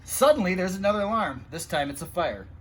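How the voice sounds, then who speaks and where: A man reading a children's picture book aloud in an animated, expressive way, with asides to young listeners. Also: high-pitched, brisk. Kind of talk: animated storytime read-aloud.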